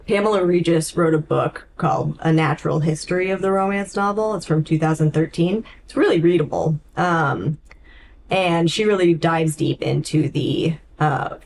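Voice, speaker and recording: Lower-voiced woman in casual book chat. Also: moderate pace, creaky, darkish tone, a little hiss or hum. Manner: informal, peppered with "like" and "um". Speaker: podcast co-host. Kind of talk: casual chat about books.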